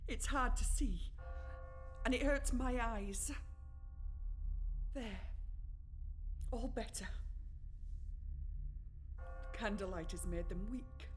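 A tired, gentle mother sewing late into the evening, as a woman plays her. Her voice sits a little high and unhurried, with faint music behind. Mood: weary. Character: weary mother sewing by candlelight. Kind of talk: radio play acting.

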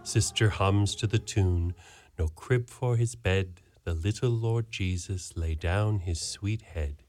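A man narrating a radio play in a steady storytelling manner. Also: sits low, takes it slowly. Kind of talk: scripted narration.

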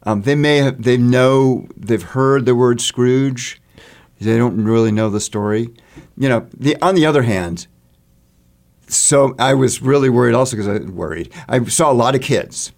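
A man's voice: mid-range voice, a little slow, vocal fry, darkish tone. A man explaining theater work in a conversational interview, thinking aloud and often rephrasing mid-sentence. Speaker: theater artist interviewed about a stage production.

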